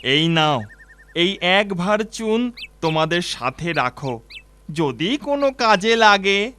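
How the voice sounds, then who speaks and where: A man's voice: sits high, sing-song, slow pace, sharp tone, clear, resonant tone, no room sound, full character voice. A man playing a poor, kindly lime-maker in a children's cartoon fairy tale, speaking apologetically and with blessings. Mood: humble, kindly.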